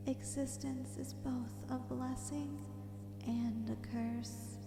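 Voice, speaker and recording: A mysterious void spirit acted by a woman speaks in a long, echoing reverb over music. The feminine voice is soft, breathy and partly whispered, gentle yet solemn. Each phrase comes very slowly and formally, as a dreamlike ASMR roleplay monologue. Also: fairly high pitch. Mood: calm, philosophical. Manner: slow, measured, solemn, formal wording.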